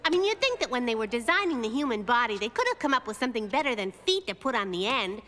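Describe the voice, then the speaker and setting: A woman voicing a quick-witted, sassy young girl in a children's cartoon, speaking in a wry, expressive way. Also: a little high. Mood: chatty, wry. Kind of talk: animated children's cartoon acting. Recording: music under the dialogue.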